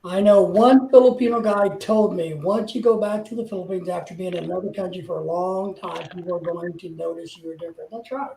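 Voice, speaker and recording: Gravelly man chatting in an echoey room. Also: high-pitched, fairly quick, few pauses, mellow, slight background noise. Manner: rambling, hesitant restarts. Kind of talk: casual chat.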